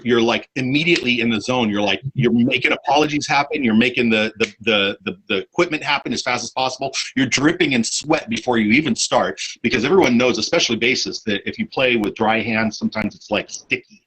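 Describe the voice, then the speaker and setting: A man's voice: medium pitch, expressive, a little fast, a bit bright, husky, low-quality recording. A man hosting a casual podcast chat, telling long rambling stories with many fillers and restarts. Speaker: podcast host, bassist.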